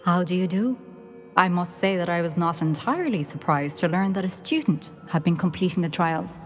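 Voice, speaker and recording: A woman's voice: lowish voice, normal speed, faint music behind, lightly acted. An actress playing a dignified older witch headmistress in a video game, formal and wry in manner. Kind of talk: video game cutscene acting.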